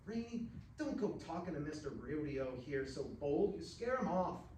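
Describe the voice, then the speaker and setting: A man's voice: on the high side, sing-song, moderate pace, a little mellow. A man voicing a brash, fiery cowgirl with a sassy, challenging drawl for a story reading, in a roomy recording. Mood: self-reproachful. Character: brash, fiery young cowgirl, teasing and combative. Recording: roomy, distant mic with faint noise.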